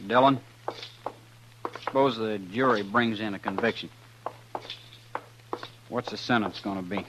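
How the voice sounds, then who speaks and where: An actor playing a cool, cagey outlaw prisoner in a 1950s radio western, with a slow, guarded way of talking. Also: male voice, on the low side, natural voice. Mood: wry, probing. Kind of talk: 1950s radio drama acting. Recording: humming, noisy 1950s radio recording.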